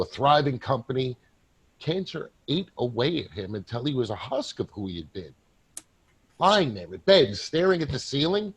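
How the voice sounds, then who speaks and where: A man performs a dead salesman's ghost in a stage drama. His voice is husky and very animated in pitch, and he pleads earnestly, stumbling over words. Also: full character voice. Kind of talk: staged play reading.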